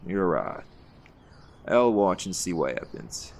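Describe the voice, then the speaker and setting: A man playing a seasoned, folksy leader of a hunt in an audio roleplay, gravelly and reassuring, with a fond teasing streak. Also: low-pitched, big pitch swings, takes it slowly, slight background noise, exaggerated voice. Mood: warm, reflective.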